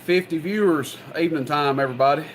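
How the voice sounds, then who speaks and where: A man's voice: fairly high pitch, fast, a little hiss or hum. A man with a Southern US accent, chatting casually and folksily as he hosts an evening on CB radio. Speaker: CB radio operator and livestream host. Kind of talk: casual chat over CB radio.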